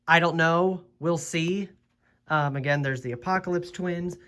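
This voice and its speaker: Slow, hesitant young man losing his voice. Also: a little high, pushed and bright, clean tone. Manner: slow, hesitant, full of ums and uhs. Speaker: host. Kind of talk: casual vlog narration.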